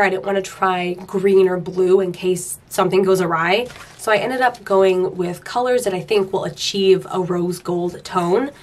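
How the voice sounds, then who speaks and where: A young woman in her twenties hosting a casual hair tutorial vlog at home, upbeat and chatty, a bit nasal from a cold. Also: medium pitch. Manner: chatty and upbeat, playful rhetorical questions. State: getting over a cold. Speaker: beauty vlogger host. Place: small room at home.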